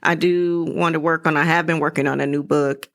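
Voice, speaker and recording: Lower-voiced woman with a creaky edge. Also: flat delivery, fairly quick, a bit bright. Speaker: interview guest, playwright. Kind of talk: casual interview chat. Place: podcast recording studio.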